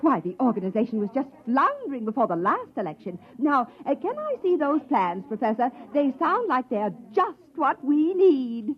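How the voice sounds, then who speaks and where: A woman's voice: high voice, very animated, normal speed, hardly any pauses. An actress playing a vain, bossy sewing-club chairlady in a radio comedy, with a fussy, gushing, condescending manner. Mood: flattered, eager. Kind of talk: 1950s radio comedy acting. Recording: muffled old radio recording, chattering group.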